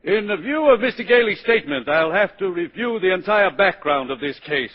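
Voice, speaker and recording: Stern, higher-voiced judge. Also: male voice, animated, fairly quick. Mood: stern, formal. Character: stern, formal male judge, measured and proper. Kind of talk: 1940s radio acting. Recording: dull 1940s radio broadcast.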